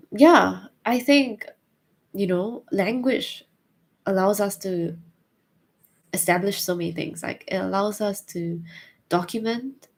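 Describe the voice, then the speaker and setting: A woman's voice: medium pitch, a little mellow. A young woman with a Singaporean accent, thoughtfully answering viewer questions in a casual chat, hesitant with many fillers. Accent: Singaporean English.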